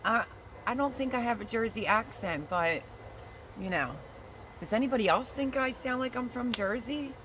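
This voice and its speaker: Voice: medium pitch, moderate pace, noisy background. Chatty gardening livestream host. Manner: chatty and teasing, reads viewer comments aloud. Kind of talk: casual livestream chat, answering viewer comments.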